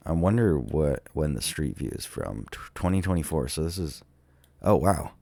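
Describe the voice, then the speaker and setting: Very deep, gravelly-voiced man with a cold. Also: sing-song, dark tone, no echo. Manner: hesitant and musing, many ums and trailing pauses. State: sick with a cold. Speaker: vlogger touring tornado damage on a map. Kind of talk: casual thinking-aloud commentary.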